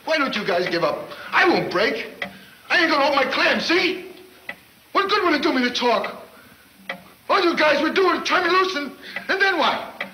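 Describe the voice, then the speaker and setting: Snivelling gangster, swooping pitch. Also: male voice, very animated, moderate pace, sharp tone, slight background noise, exaggerated voice. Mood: panicked defiance. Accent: American. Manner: snivelling, stammering. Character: frightened, slangy male gangster under arrest. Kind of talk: 1940s film serial acting. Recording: echoey old film soundtrack with music.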